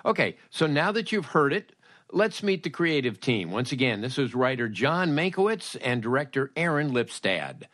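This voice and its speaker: A man's voice: mid-range voice, big pitch swings, moderate pace, a bit bright. A man hosting a podcast interview in a casual, conversational style.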